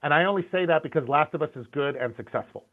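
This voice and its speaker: A man's voice: fairly high pitch, fast. A middle-aged man, a concept art and animation director, talking candidly in a casual interview, often restarting and repeating words. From Syrian, grew up in the US. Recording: tinny phone-like call audio.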